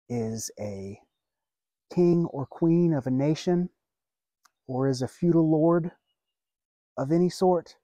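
A man in his thirties delivers an earnest outdoor sermon, seated by a lake, speaking slowly with long pauses. His voice is mellow and warm, caught close and dry on a lapel mic. He builds his points in measured phrases. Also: medium pitch, no echo. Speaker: pastor.

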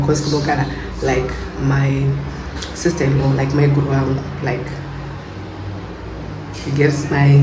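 A woman's voice: rumbling low, noisy background. Chatty young woman vlogging, roomy sound. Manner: chatty, rambling, fills with "like". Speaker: travel vlogger. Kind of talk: casual vlog chat to camera.

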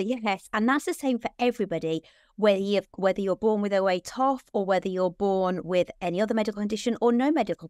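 A British woman in her forties, a children's lung doctor, explaining clearly and steadily on a video call from a room at home. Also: mid-range voice, no room sound, clean studio sound. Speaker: paediatric respiratory consultant. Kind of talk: answering questions in an online talk.

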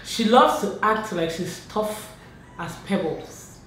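A woman's voice: mid-range voice, expressive, slow pace, distant mic, slight background noise. A young woman in her twenties from Nigeria, joking playfully about her older sister in a casual chat at home. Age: 20s. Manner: teasing, playful. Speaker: guest, host's younger sister. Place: bright home room, indoors. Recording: echoey room, voice a bit off mic.

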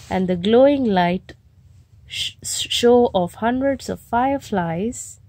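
A woman's voice: medium pitch, gravelly, darkish tone, no room sound. A woman reading a picture book aloud to young children at home, putting questions to them as she goes. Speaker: storybook reader and host of children's story time. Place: home bedroom, indoors.

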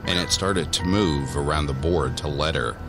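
A man giving measured, deliberate narration for a scripted horror audio drama. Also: very deep voice. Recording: voice over background music.

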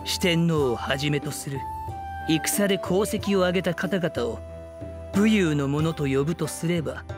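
Male actor as stern young scholar-shogun for anime drama: courteous, precise, formal, over clear background music. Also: a little high, lightly acted. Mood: earnest, explanatory. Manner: formal, measured, explanatory.

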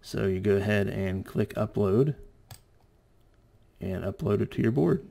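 A man with a low, mellow voice walks through an informal tech tutorial. His speech has a gravelly creak, and he explains each step in plain terms. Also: unhurried. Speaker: tutorial presenter.

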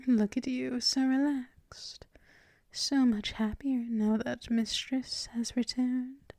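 A woman plays a gentle, dominant girlfriend in an intimate ASMR roleplay. Her voice is fairly high and mellow, moving very slowly between soft murmurs and whispers. She is soothing, affectionate and teasing, close on the microphone. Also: steady tone, no room sound.

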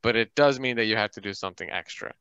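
Clear bright guy voice talking through dice rules, casual, kinda dull call audio. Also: lowish voice, quick pace, no echo. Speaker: game facilitator explaining rules. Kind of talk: casual tabletop game talk. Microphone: group video call. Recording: dull band-limited online call.

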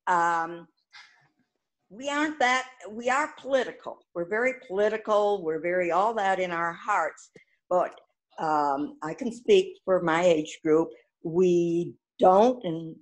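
Older woman, lower-pitched, playwright at a talkback, frank and emphatic delivery. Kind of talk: informal critique and thanks at a play-reading talkback.

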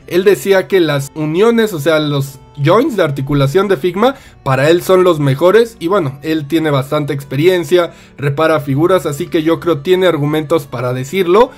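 Mexican man, high voice, frank toy reviewer, light music underneath. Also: normal speed, hardly any pauses. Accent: Mexican Spanish. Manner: chatty, candid and opinionated. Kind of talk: casual unboxing review.

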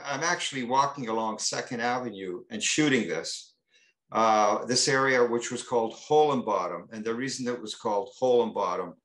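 A man with a lowish voice talks on a panel in a gallery, stopping and restarting often and filling gaps with "uh" and "um". The room around him rings with echo. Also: a bit bright. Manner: halting, many fillers and restarts. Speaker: panel guest, artist. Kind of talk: panel discussion.

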